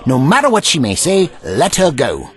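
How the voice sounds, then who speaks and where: A man's voice: a little high, wildly swooping pitch, moderate pace, a bit bright. A man playing an eccentric time-travelling adventurer in an audio drama, pompous, theatrical and sardonic. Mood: wry defiance.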